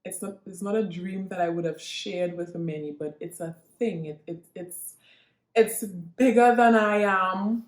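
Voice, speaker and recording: A Trinidadian woman talks straight to her viewers in a slow, earnest, reflective way. She often stops and repeats herself as she works out what to say. Her voice carries some echo from the room. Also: medium pitch. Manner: earnest, halting, with frequent restarts and repeats. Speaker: vlogger. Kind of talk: reflective personal monologue to viewers. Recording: echoey room.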